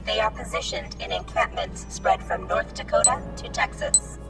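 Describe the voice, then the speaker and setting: A woman playing a computer that gives slow, impersonal, procedural reports in an audio drama. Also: mid-range voice, wildly swooping pitch, gravelly, over music. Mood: matter-of-fact. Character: flat, procedural computer giving military reports.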